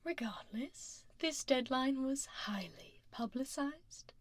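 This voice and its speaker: A woman playing a powerful, stern dragon lady CEO in an ASMR roleplay, speaking in a soft, half-whispered voice with controlled, politely menacing poise, close on a binaural microphone. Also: sits high, expressive, noisy background. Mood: stern displeasure. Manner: deliberate, controlled, politely menacing office speech.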